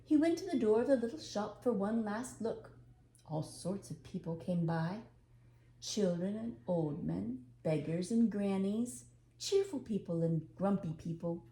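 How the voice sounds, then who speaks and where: An older woman reading a storybook aloud as an expressive narrator. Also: medium pitch, measured pace. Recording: echoey room, faint background noise.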